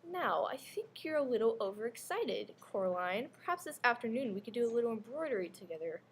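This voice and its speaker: A woman's voice: fairly high pitch, big pitch swings, a little fast. A woman playing a sinister, possessive mother in readers theater, archly formal and sugary as she coaxes and scolds. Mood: sweetly coaxing. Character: sinister other mother, sweetly possessive and controlling. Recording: faint background noise, live ambience.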